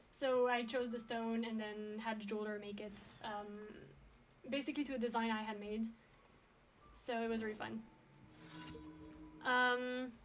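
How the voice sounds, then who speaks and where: High-voiced young woman streamer chatting. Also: slight background noise. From France. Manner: chatty, frequent ums and laughs. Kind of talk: casual chat with viewers.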